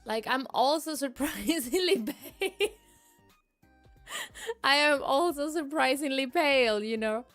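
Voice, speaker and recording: Casting note: a woman chatting with her live audience, a touch high-pitched, soft music in the background. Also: lively intonation, a little slow, long pauses. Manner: giggly, chatty, frequent laughs and restarts. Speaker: VTuber streamer. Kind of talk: casual chat reading live chat.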